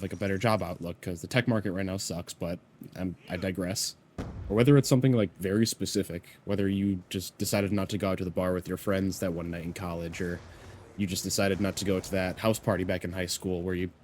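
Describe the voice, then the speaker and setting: A young man in his mid-twenties speaks to camera with a low, deep voice that sounds a little congested from a cold. He rambles earnestly and reflectively, leaning on frequent "you know" fillers. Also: darkish tone, slight background noise. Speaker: YouTuber. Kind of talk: reflective personal vlog talk.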